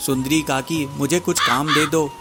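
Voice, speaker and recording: A man's voice: fairly high pitch, fairly even intonation, normal speed, loud music behind the voice, lightly acted. A man voicing a devoted, determined young wife bird in a cartoon story, speaking earnestly and respectfully. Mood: earnest pleading.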